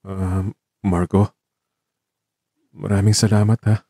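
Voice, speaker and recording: Man acting a tender ailing man, very mellow voice. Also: low-pitched, level tone, slow pace, slightly rough, dry recording, studio quality, full character voice. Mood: tender, grateful. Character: ailing young man, tender, teasing, grateful to his companion. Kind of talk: Tagalog audio drama acting.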